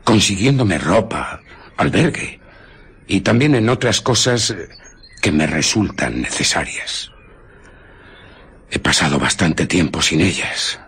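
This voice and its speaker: Un actor interpretando a un hombre invisible amenazante y autoritario, un marginado resentido, en un radioteatro. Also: male voice, low voice, lively intonation, takes it slowly, pauses often, fairly bright tone, husky, exaggerated voice. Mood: menacing, insistent. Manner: commanding, coercive. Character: menacing, domineering invisible man, a bitter outcast scientist. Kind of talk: radio drama acting.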